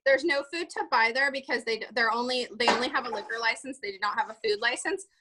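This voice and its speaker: A woman's voice: a little high, fast talker, noisy background. A woman leading a casual planning meeting, chatty and full of fillers as she runs through lists of items. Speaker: event organizer leading a meeting.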